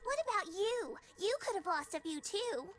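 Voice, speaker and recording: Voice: very high-pitched, animated, moderate pace, a bit bright, soft background music. A performer voicing a cheeky young pirate girl in an anime video game dub.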